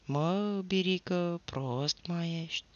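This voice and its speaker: A man reading aloud voices an older peasant father talking in a hushed, wry way, on a dull, dry recording. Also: high voice, pitched above the performer's own voice, close to an everyday voice.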